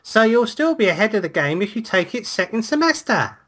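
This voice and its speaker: Man playing a high-voiced, flippant young student. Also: very animated, fairly quick, a bit bright, full character voice. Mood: casual, muddled. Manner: flippant, teasing. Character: joking, easygoing young male cooking student. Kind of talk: visual novel dub with banter.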